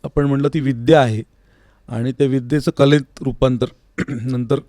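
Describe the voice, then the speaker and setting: A man's voice: medium pitch, steady tone, moderate pace, warm tone, no echo. A man speaking as an interview guest and music teacher, explaining things earnestly in a reflective, conversational style. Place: recording studio. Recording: dry, close studio sound.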